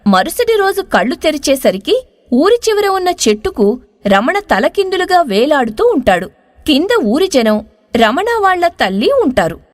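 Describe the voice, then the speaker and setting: Casting: female story narrator, high voice, very lively intonation, scripted delivery, close studio sound. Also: normal speed. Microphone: close studio voice-over.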